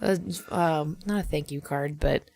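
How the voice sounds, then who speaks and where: A creaky, gravelly voice chats casually as a podcast co-host. The delivery is blunt, playful and full of swearing, improvising comedy as it goes. It is recorded very dry. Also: mid-range voice, unhurried, a little mellow, dry recording. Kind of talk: casual comic brainstorming of a made-up slasher story.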